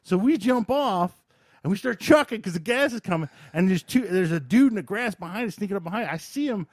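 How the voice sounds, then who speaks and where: A middle-aged man hosting a podcast, telling casual anecdotes in an animated, excitable way with some swearing. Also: sits high, fairly quick, no echo, studio quality. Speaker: podcast host.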